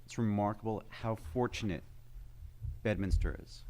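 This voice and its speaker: A man giving unscripted remarks as a committee member at a public meeting, slightly halting and repeating his phrases. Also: on the low side, mellow.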